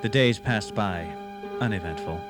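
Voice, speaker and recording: Man as a lowish-voiced, solemn ghost-story narrator. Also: unhurried, over music, lightly acted. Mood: ominous, measured. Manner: grave, dramatic storytelling. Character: solemn male narrator of a ghostly sea tale. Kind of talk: scripted radio drama narration.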